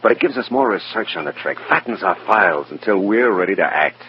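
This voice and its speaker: An actor playing a matter-of-fact, persuasive FBI agent with a plain-spoken, folksy manner in an old radio drama. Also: male voice, on the low side, expressive, brisk, lightly acted. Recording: dull, faintly noisy old radio recording.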